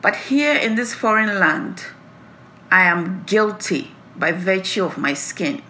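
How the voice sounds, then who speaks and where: A woman poet presenting and reciting her own work in a deliberate, emphatic delivery. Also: mid-range voice, lively intonation, bright, forceful tone, slight background noise. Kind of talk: introducing and reciting own poem.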